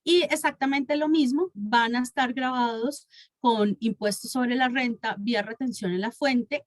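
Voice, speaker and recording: A woman's voice: a little high, no room sound. Colombian woman presenting, dry close sound. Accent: Colombian Spanish. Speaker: tax and legal consultant presenting a webinar. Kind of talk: explanatory professional presentation.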